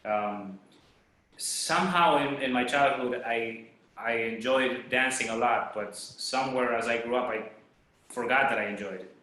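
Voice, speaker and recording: A man with a bright, forceful voice tells his story haltingly, with many uhs and false starts. A heavy echo surrounds him, as from a bare room on a video call. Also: medium pitch. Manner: hesitant, many uhs and restarts. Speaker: guest, dancer. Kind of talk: casual interview chat. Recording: very echoey room.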